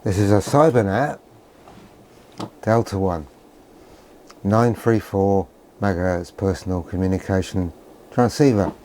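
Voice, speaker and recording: A man's voice: low voice, measured pace, long pauses, mellow, a little hiss or hum. An older British man giving rambling, unscripted commentary in a vlog, with a dry, grumbling, sardonic manner. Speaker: presenter of a vintage radio video.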